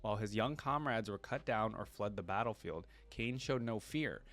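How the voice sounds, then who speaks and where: A man with a fairly low voice hosts a casual reaction video. He reads narrative text aloud and breaks it up with his own thoughts, recapping in loose, everyday language. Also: animated, moderate pace, fairly bright tone. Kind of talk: casual reaction commentary mixed with reading a story aloud.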